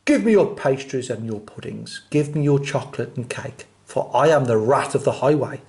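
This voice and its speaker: A man voicing a greedy, bullying rat highwayman from a storybook, with booming, imperious and scornful demands. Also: expressive, moderate pace, a little mellow, close to an everyday voice.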